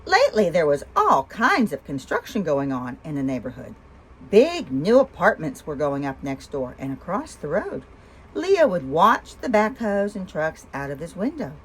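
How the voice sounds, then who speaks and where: Lively low-pitched woman reading a picture book. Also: normal speed, breathy edge, low-quality recording. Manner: asks listeners simple questions between story lines. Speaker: storyteller and host of a read-aloud show. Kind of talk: expressive picture-book read-aloud for young children.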